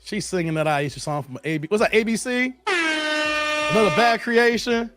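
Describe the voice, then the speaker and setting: A hoarse man hosting a casual livestream, slangy and chatty, greeting viewers by name. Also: very high-pitched, expressive, normal speed, over loud music. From Chicago area, Illinois. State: hoarse, losing his voice. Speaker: livestream host.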